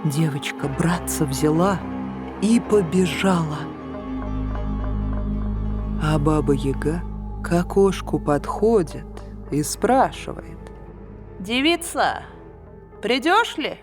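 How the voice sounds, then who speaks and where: A woman narrating a fairy tale in an unhurried, hushed manner, at times softly whispery. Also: sits low. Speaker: audiobook narrator. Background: soft background music.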